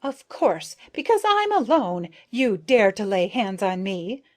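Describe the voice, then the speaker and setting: A woman voicing a frightened, indignant little male ant with a clear, piping, sharp voice. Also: mid-range voice, very animated, slow pace. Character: shrill angry little male ant intruder.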